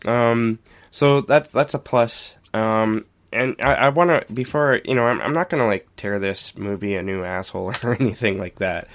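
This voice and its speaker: A man with a fairly low voice talks as a podcast co-host. He is informal and candid, chatting through a casual review in plain, loose language. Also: normal speed. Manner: informal, candid, mildly profane. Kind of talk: casual film review chat.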